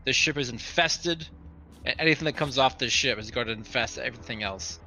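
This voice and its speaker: A man's voice: medium pitch, fairly quick, pushed and bright, faint music behind. A man playing a tabletop roleplaying game, talking casually and speaking for his character. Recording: dull, lo-fi voice audio.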